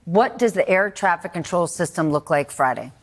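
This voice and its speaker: A woman's voice: fairly low pitch, lively intonation, moderate pace. A woman anchoring a television news programme and interviewing with direct, pointed questions.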